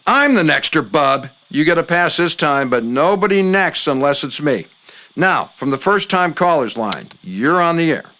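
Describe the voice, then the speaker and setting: Man playing a high-pitched, showy radio host. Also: animated, moderate pace, pushed and bright, muffled recording. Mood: commanding. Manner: theatrical, folksy slang. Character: folksy, showy late-night paranormal radio call-in host. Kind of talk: acted radio talk show.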